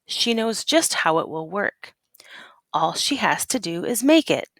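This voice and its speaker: A woman reads a children's storybook aloud like a teacher, unhurried and very expressive in pitch. She leans on key words and puts questions to her young listeners. The sound is close and dry. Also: no echo. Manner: deliberate, dramatic emphasis on key words. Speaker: teacher reading a picture book aloud.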